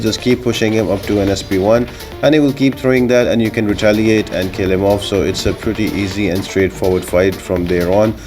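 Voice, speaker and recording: A man's voice: on the low side, darkish tone. A man giving a casual, step-by-step video game guide as the host of a gaming channel. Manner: instructional, step-by-step explaining.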